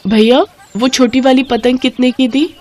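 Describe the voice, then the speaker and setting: A woman voicing a humble, gentle poor maid and mother in a children's story, speaking meekly and deferentially. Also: sits high, steady tone, normal speed, voice pushed up, lightly acted. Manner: meek, deferential.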